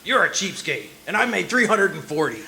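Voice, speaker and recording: Guy playing blunt stubborn vendor in a radio comedy, animated, loud noise behind him. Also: high voice, a little fast, creaky, heavily acted. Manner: blunt, retorting. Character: gruff, unbudging Christmas tree seller. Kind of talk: old-time radio comedy acting. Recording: noisy live stage performance.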